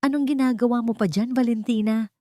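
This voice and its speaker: A woman's voice: on the high side, steady tone, brisk, warm tone, no echo, clean studio sound, pitched above the performer's own voice, close to an everyday voice. A woman voicing a haughty, cruel older mother-in-law in a story drama, snobbish and commanding. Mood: sneering.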